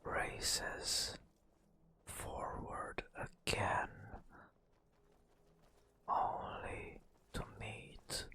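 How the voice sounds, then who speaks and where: A man softly narrating a fantasy game adventure as ASMR storytelling, in a breathy whisper with deliberate, drawn-out phrasing. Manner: slow, deliberate phrasing with long pauses. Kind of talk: soft-spoken ASMR storytelling.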